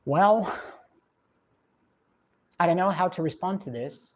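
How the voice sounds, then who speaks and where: A woman from Russia with a Russian accent, hosting a livestream and chatting in a reflective, unhurried way with plenty of fillers. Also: fairly low pitch. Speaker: livestream host. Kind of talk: casual livestream chat reading viewer comments. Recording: dull lo-fi stream audio.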